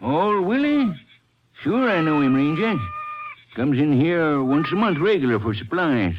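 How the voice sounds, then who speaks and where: High-pitched folksy country storekeeper, old radio. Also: male voice, very animated, a little slow. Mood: folksy, scornful. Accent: rural American West. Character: folksy, gossipy old-West general storekeeper. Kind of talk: 1950s western radio acting. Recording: muffled, noisy old radio recording.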